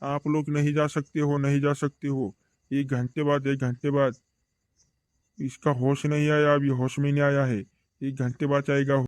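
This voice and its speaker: A man's voice: mid-range voice, little pitch movement, moderate pace, a little mellow, no room sound, close to an everyday voice. A man playing a bossy, urgent village doctor in an amateur cartoon comedy, repeating short phrases insistently. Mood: reassuring.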